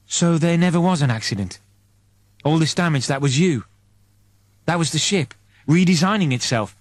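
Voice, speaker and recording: A man's voice: a little high, lively intonation, moderate pace, no room sound. A man voicing an aggrieved, bewildered everyman in an audiobook, questioning and protesting. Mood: puzzled. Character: bewildered, aggrieved everyman, indignant and self-doubting.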